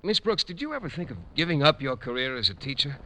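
A man's voice: a little high, very animated, fast, bright, forceful tone. An actor playing a shy, earnest, naive male teacher in a 1940s radio comedy, polite and stammering. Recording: noisy old radio recording with clicks.

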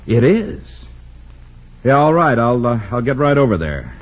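An actor playing a wry, inquisitive, easygoing newspaperman with a husky voice in an old radio drama. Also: medium pitch, sing-song, unhurried. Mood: wry, casual. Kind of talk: 1940s-50s radio drama acting. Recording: dull lo-fi old radio recording.

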